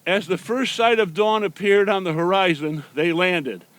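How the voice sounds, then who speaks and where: A man's voice: high voice, normal speed, fairly bright tone. A man appearing as Santa Claus, reading a picture book aloud to young children. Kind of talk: children's storybook read-aloud.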